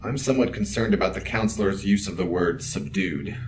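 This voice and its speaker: A man's voice: low-pitched, fairly quick, noisy background, close to an everyday voice. A man playing a focused, practical spaceship crewman in an audio drama, terse and matter-of-fact. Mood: controlled urgency. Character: focused, level-headed male spaceship crewman under pressure.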